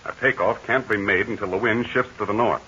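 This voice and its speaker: An actor playing a brave, steady aviator hero in a 1940s radio serial, talking in a calm, assured and commanding way. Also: male voice, fairly low pitch, brisk, a little hiss or hum. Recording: dull lo-fi 1940 radio transcription.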